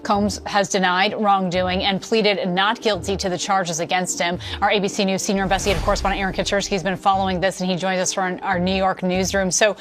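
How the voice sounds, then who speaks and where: A woman's voice: medium pitch, level tone, a little fast, few pauses. A woman news anchor reading a broadcast report and putting questions to a correspondent.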